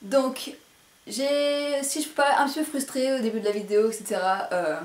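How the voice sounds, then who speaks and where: A woman's voice: sits high, moderate pace, darkish tone. A woman presenting a casual, chatty hair tutorial to camera, speaking a little carefully and self-consciously. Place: indoor room at home. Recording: roomy echo, faint background noise.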